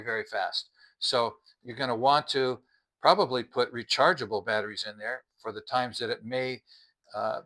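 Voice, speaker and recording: A man with a mid-pitched, very bright and forceful voice presents a casual product review. He talks straight to viewers in a conversational, explanatory way, as the host of his own channel. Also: poor audio.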